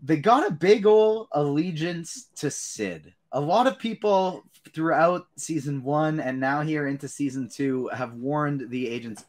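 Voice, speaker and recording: A man's voice: a little high, animated, unhurried, few pauses, a little mellow. A man hosting a casual fan podcast in a conversational style. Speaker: podcast host.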